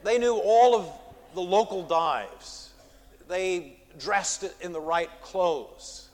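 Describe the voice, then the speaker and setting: A man preaching an expository sermon from a pulpit, measured, with emphatic rises on key words. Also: high-pitched, a little mellow, in a large hall. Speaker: pastor. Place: church sanctuary.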